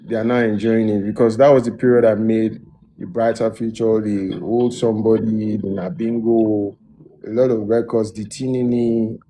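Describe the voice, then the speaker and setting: A man's voice: lowish voice, moderate pace, low-quality recording. A man, a music producer, telling his story in a casual interview with a lot of restarts. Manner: relaxed, loose storytelling with many restarts.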